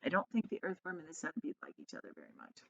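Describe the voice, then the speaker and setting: A woman reading a children's story aloud like a teacher, expressively, pausing to explain things in simple asides. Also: low voice, wildly swooping pitch, creaky, a bit bright. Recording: dull, lo-fi sound.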